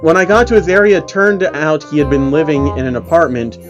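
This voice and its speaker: Man, slightly high voice, online horror story narrator, mocking theatrical reading, amused and exaggerated, music underneath. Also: expressive, brisk, highs cut off. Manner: amused, exaggerated, often breaking character. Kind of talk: mocking dramatic reading of a creepypasta. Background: faint music.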